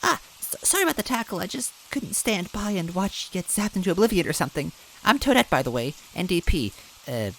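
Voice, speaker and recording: A man voicing a tough, spunky young toad woman, a former police officer who is blunt and quick to yell. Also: high-pitched, big pitch swings, creaky, slight background noise, pitched above the performer's own voice, full character voice, poor audio. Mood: apologetic.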